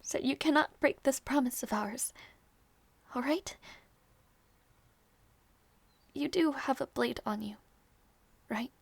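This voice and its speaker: A woman acting a young princess in an intimate audio roleplay, tender and wistful, with soft, regal phrasing that hides sadness. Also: sits high, creaky, slightly rough, no room sound, heavily acted. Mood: solemn, tender. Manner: soft, measured, regal phrasing with small teasing turns.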